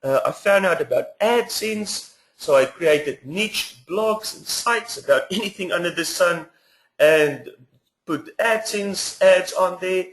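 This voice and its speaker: A man in his thirties from South Africa with a South African accent, hosting a webinar in an earnest, persuasive way with pauses to think. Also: high-pitched, fairly bright tone, reverberant, low-quality recording. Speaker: webinar host. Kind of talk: webinar presentation.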